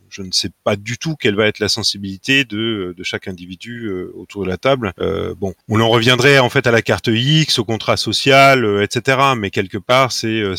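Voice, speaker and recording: A man's voice: lowish voice, fairly bright tone, no echo, clean studio sound. A man whose native language is Spanish, reflecting casually as a panelist in a careful, hesitant, self-qualifying manner. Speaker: panelist on a role-playing games show.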